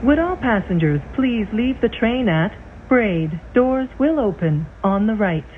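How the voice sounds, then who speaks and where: A woman reading scripted transit announcements in a measured, formal, clearly enunciated way. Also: a little high, expressive. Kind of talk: scripted train station announcements. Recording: tinny band-limited train speaker, loud vehicle noise.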